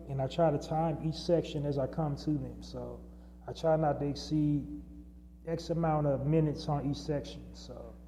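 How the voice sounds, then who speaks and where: A man's voice: a little high, dark tone. A man hosting his own podcast, talking off the cuff in a solo monologue with many fillers and restarts. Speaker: podcast host. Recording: long reverb and faint hum.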